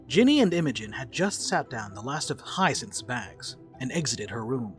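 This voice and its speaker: A man's voice: mid-range voice, very animated, normal speed, fairly bright tone, faint music behind. A man giving scripted third-person narration for a dramatic reading.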